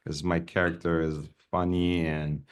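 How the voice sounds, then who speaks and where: A man, an actor and interview guest whose first language is Armenian, telling stories in a casual, animated way and laughing often. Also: low voice, unhurried, smooth.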